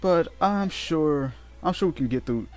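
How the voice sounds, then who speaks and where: A man giving casual, unscripted game commentary in a halting way, often repeating and correcting himself as he goes. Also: a little high, expressive. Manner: halting, repetitive, self-correcting. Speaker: let's play host. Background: game music.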